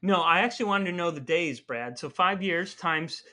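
A man's voice: a little high, expressive, normal speed, sharp tone. A man co-hosting a casual podcast with his brother, telling stories wryly and reading the outro. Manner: wry, playful storytelling, reads outros. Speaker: podcast co-host. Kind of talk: casual chat with scripted segments.

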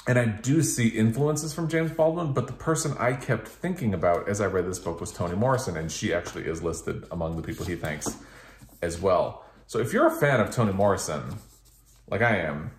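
A man in his thirties speaks in a fairly low voice with noticeable room echo. He hosts a casual book chat from a living room, talking to the camera in a rambling, thoughtful way and often rephrasing as he goes. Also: a little fast, slight background noise. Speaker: book reviewer, video host. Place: small living room with couch and bookshelves, indoors.

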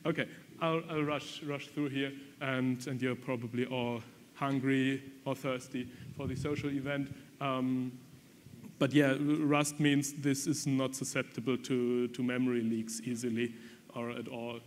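A man's voice: mid-range voice, little pitch movement, unhurried, slight background noise. A man giving a technical conference presentation, talking frankly with frequent ums and restarts. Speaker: conference speaker. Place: conference hall. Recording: long reverb from lecture hall.

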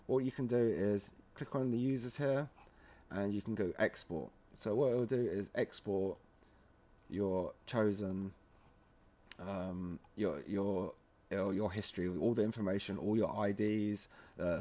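A man with a fairly low voice presents a screen-recorded software tutorial. He talks informally and a bit haltingly, guiding the viewer through each step. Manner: informal, halting, often checking in with "okay".

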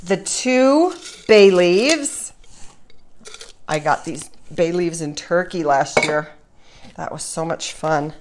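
Woman, home cook host, unhurried pace, medium pitch, chatty step-by-step instruction, faint kitchen noise behind. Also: lively intonation. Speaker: home cooking show host. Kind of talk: informal cooking demonstration to camera.

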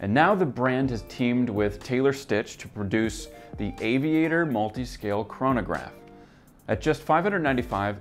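Upbeat man reading gear news. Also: on the low side. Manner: brisk, upbeat presenter reading from notes. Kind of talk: scripted product news roundup. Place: office with shelves, seated at a desk.

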